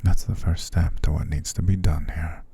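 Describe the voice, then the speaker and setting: A man playing a possessive, seductive vampire master in an ASMR roleplay, calm and commanding, slow and formal, often sinking to a gravelly whisper. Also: rumbling low, full character voice. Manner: slow, formal, soothing but controlling.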